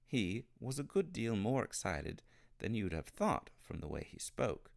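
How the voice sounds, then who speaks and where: A man reading a children's novel aloud as an expressive storybook narrator. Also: fairly low pitch, measured pace, gravelly. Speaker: storybook reader.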